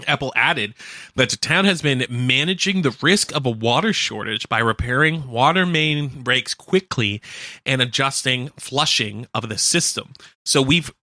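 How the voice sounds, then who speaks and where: Male community radio co-host, crisp forward tone, mid pitch, relaxed news chat, dry studio sound. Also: unhurried, no room sound. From Canada. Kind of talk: casual local radio chat, reading news quotes.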